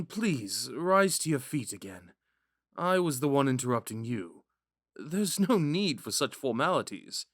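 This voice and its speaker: A man playing a gentle, smitten young prince in a romantic audio roleplay, musing and hesitant in a courteous way. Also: medium pitch, no room sound, studio quality. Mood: gentle, charmed. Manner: musing, hesitant, courteous, with sighs and whispered asides.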